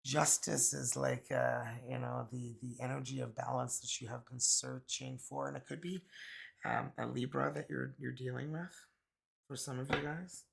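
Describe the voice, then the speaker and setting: A hoarse man from Canada with a raspy voice, chatting casually through a tarot card reading with many fillers. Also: medium pitch, noisy background. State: hoarse, recovering from illness. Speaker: tarot reader.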